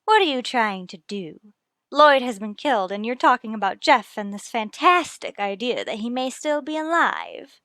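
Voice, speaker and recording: Mystery drama heroine, earnest, dramatic, fairly high voice, dry studio sound. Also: female voice, sing-song, moderate pace, fairly bright tone, no echo. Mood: distraught, defensive. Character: women in a murder mystery, earnest and emotional. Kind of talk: old-time radio drama acting.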